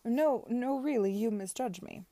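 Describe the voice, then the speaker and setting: A woman's voice: fairly high pitch, measured pace, warm tone, dry recording, voice pushed up, natural voice. A woman voicing a meek young baronet who answers mechanically and stammers. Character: meek young baronet, a man under his aunt's thumb.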